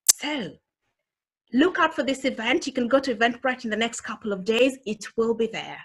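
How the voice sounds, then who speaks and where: Woman, Kenyan accent, husky voice, highish pitch, encouraging motivational speaker. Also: lively intonation, moderate pace. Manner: earnest, encouraging, emphatic. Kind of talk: online motivational talk.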